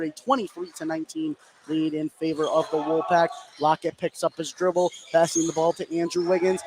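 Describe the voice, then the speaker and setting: High-pitched man calling live basketball. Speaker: play-by-play sports announcer. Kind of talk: live basketball radio commentary. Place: indoor high school gymnasium. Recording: clear venue noise behind the voice.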